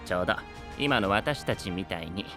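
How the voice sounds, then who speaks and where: A theatrical knight storyteller in an anime audio drama, bright and lively in pitch. He speaks with polite, teasing grandeur. The voice rings in a long reverb over music. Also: normal speed. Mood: delighted. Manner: polite speech, playful and grandiose.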